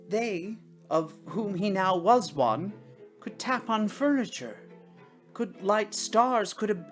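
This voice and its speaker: Woman narrator reading a story aloud, lowish voice, expressive, music in the background.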